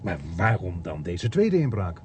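A man's voice: sits low, sing-song, a little slow, slight background noise. An actor playing a weary, sceptical policeman in a Dutch radio play, dry and grumbling, with a Netherlands Dutch accent. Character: weary, sceptical policeman narrating his own case.

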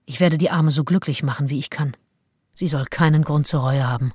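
An actress playing a self-assured, calculating nineteenth-century seducer who narrates her diary in a radio play, wry and deliberate. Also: low-pitched, mellow, slightly rough, no echo, studio quality. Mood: resolute. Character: self-assured, calculating female seducer and diarist, early 1800s.

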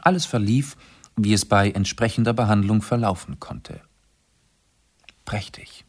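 Urbane gravelly gentleman sleuth. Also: lowish voice, exaggerated voice. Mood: explaining gravely. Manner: precise, theatrical, savouring his explanations. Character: urbane, self-assured male amateur sleuth and aristocrat. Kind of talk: German radio play acting.

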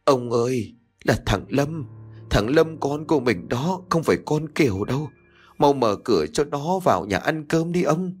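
A man's voice: a little high, very animated, moderate pace, slightly rough, soft background music, pitched above the performer's own voice. Man playing a tearful frightened old mother. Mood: desperate. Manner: broken, tearful pleading.

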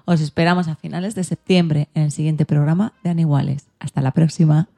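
A woman's voice: low-pitched, fairly even intonation, brisk, gravelly, warm tone. A woman with an accent from Spain presenting a podcast in a scripted style as its host.

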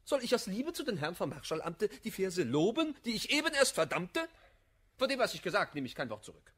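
Husky, wildly emphatic indignant gentleman. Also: high voice, big pitch swings, fairly quick, heavily acted. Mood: outraged, stubborn. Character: proud, stubborn, irritable gentleman lover, blunt truth-teller. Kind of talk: German radio play, classical verse comedy.

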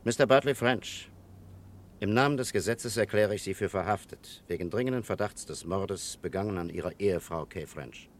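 An actor playing a stern, methodical police captain in a 1960s German radio crime drama, speaking with formal, probing authority. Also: male voice, fairly low pitch, moderate pace, close to an everyday voice. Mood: stern, accusing. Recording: noisy 1960s radio recording.

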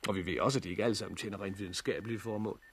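A practical, protective survivor in a sixties radio play. His voice is low and very creaky, with a husky edge. He speaks in measured, matter-of-fact phrases that steady whoever he is with. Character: pragmatic, protective male survivor of a global catastrophe. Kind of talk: 1960s Danish radio drama acting.

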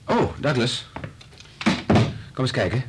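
A man playing a kind, patient scientist in a radio drama, speaking in a gentle, reassuring, informal way. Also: medium pitch, big pitch swings, slow, heavy background noise. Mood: focused.

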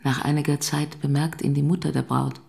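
A woman narrator with a low voice and plenty of creak. She reads scene descriptions in a sober, measured way. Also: little pitch movement, a little mellow. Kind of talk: scripted narration, present-tense scene description.

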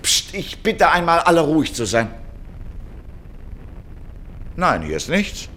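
A man's voice: fairly high pitch, huge pitch swings, slow, a bit bright, slight background noise, exaggerated voice. A man playing an officious, flustered station director in an old radio play, formal, agitated and exasperated.

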